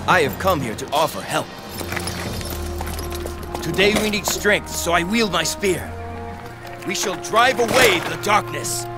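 An actor playing a loyal, earnest young warrior in a video game cutscene, speaking in a solemn, formal, declamatory way. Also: male voice, high voice, lively intonation, a little slow, long pauses. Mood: rallying. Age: young adult. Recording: background music under dialogue.

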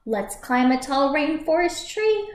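A woman in her thirties reading a picture book aloud for young children as a storytime host, expressive and clearly paced. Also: sits high, unhurried. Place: small room at home, indoors by a shuttered window. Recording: echoey home room.

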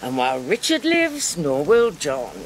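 Casting: ageing queen mother, proud and sharp-tongued, highly varied pitch, historical audio drama. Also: huge pitch swings, unhurried, a little hiss or hum, full character voice, rough recording. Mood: weary, wry. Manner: imperious, dry, wry. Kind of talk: British-made historical radio drama acting.

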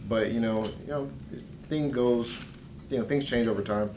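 A young drummer talking in a rambling, hesitant way on a couch in a small studio. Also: male voice, medium pitch, moderate pace, background noise. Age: young adult. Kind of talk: casual chat. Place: small studio room, indoors.

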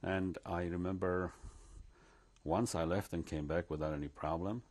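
Older man with a low, husky voice. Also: steady tone, a little mellow. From Poland. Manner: measured, reflective, with frequent pauses and restarts. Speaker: interviewee. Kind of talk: recounting memories in an interview. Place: living room, seated before curtains. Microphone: lapel mic.

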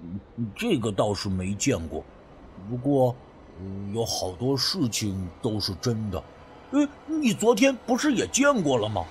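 A man voicing a timid, superstitious, excitable village friend in an audio story, with hesitant, stammering speech full of interjections. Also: mid-range voice, a little slow, slight background noise, pitched below the performer's own voice. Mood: earnest, hesitant.

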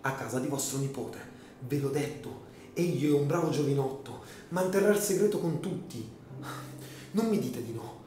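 Man acting an earnest pleading gentleman, very echoey. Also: medium pitch, normal speed, slight background noise, natural voice. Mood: insistent, solemn. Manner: emphatic, pleading, solemn. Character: earnest, insistent, desperately hopeful gentleman, the dead countess's brother. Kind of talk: melodramatic radio drama acting. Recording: very echoey room, music behind.

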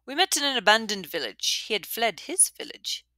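A woman's voice: on the high side, very animated, normal speed, bright, forceful tone, dry recording, pitched above the performer's own voice, exaggerated voice. Woman reading as a big, hearty bearded man. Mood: earnest. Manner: hearty, playful.

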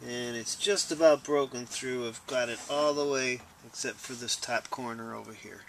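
A man's voice: mid-range voice, normal speed. A man in his forties giving a casual hands-on tutorial in a plain-spoken way, thinking aloud step by step as he works. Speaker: DIY instructor. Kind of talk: casual hands-on tutorial talk while working. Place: inside a plastic-covered wood-framed dome greenhouse. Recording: noisy ambient camera audio.

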